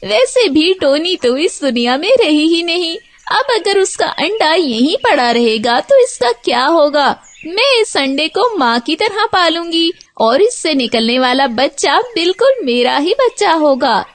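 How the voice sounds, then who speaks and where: A woman's voice: very high-pitched, unhurried, hardly any pauses, exaggerated voice. A woman voicing a caring, outspoken, motherly female crow in a children's cartoon, with an earnest manner. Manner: animated, earnest. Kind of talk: Hindi children's cartoon voice acting.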